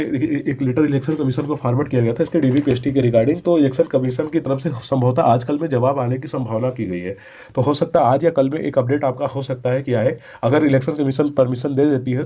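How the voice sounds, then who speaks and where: A man's voice: mid-range voice, warm tone. A man with a North Indian Hindi accent giving informational updates as a channel host, explaining step by step.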